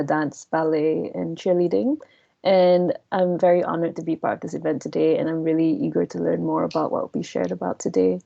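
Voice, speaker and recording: Young woman from Malaysia, student introduction, gravelly and mellow, dull call audio. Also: lowish voice. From Penang, Malaysia. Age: young adult. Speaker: physiotherapy student. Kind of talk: informal self-introduction. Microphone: video call.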